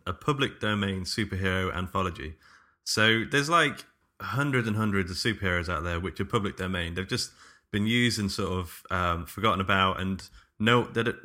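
A man's voice: deep voice, pushed and bright. A man hosting a podcast in casual chat, feeling his way through sentences with hesitant enthusiasm. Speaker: podcast host.

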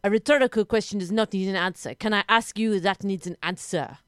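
A woman playing a haughty, menacing Belgian sweet-shop keeper in improvised comedy, with a French-speaking Belgian accent and indignant, deadpan delivery that rises at the ends of sentences. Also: medium pitch, a little fast, clean tone, no echo, studio quality, close to an everyday voice. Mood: insistent, deadpan.

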